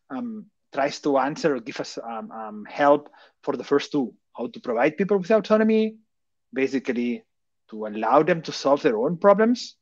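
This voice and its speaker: A man's voice: medium pitch, darkish tone, no room sound. A man from Barcelona with a Catalan Spanish accent, in his thirties, giving a technical talk over a call with many fillers and rhetorical questions. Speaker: conference speaker. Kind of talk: technical presentation. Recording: dull, dry lo-fi call audio.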